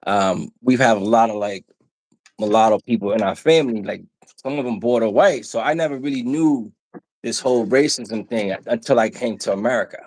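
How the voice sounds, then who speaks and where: A man's voice: mid-range voice, brisk, darkish tone, rough recording. A man from Haiti who is a guest on a casual panel talk show, blunt, telling stories and acting out his own quotes.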